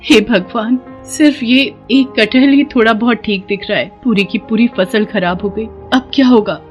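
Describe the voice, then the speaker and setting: A woman voicing a pious, sharp-tongued village wife and mother in a dramatized moral story, emotional in her delivery. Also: fairly high pitch, moderate pace, faint music behind, voice pushed up, natural voice. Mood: pleading.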